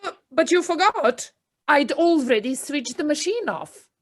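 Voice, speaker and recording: A woman's voice: high-pitched, expressive, unhurried. A woman playing an earnest, brave little mole in an audio play, sincere and plaintive. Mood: explaining.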